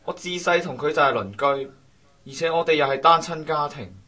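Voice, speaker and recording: A man's voice: fairly high pitch, brisk, sharp tone, husky. A man acting a resentful, sarcastic young coworker in a Cantonese radio drama, with a Hong Kong accent and a grumbling delivery. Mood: aggrieved.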